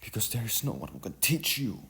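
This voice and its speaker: A man's voice: fairly low pitch, big pitch swings, a little fast, vocal fry, bright, forceful tone, voice pushed up, full character voice. A man voicing a weary old mother in an audiobook, stern and emphatic as she scolds. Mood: scolding.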